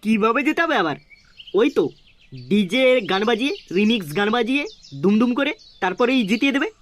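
A man's voice: very high-pitched, expressive, fairly quick, fairly bright tone, slight background noise. A man voicing a cheeky, fawning henchman in a comedy cartoon, teasing and placating by turns. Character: cheeky, fawning male sidekick of a gang boss.

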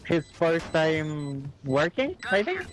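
A man's voice: on the high side, wildly swooping pitch, slow. A young man streaming a shooter game at home, joking with teammates and switching between English and Spanish. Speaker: streamer playing ranked shooter. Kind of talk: casual gaming chat. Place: home room indoors.

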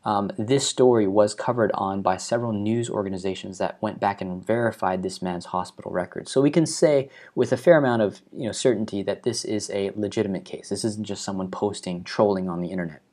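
A man's voice: fairly low pitch, a little mellow. Earnest young man explaining the news. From Hawaii. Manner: earnest, measured, stressing key numbers. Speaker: video host. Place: living room at home, indoors.

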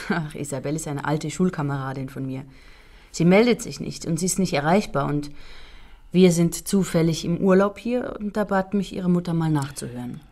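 An actress playing a composed, observant female prosecutor, polite and quietly concerned, in a German radio crime drama. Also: sits low, moderate pace.

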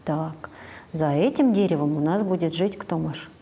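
A woman in her forties, a speech therapist, telling a fairy tale to a small child slowly and prompting her to finish the words, recorded on a lapel mic in a bright studio. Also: sits low, big-room sound.

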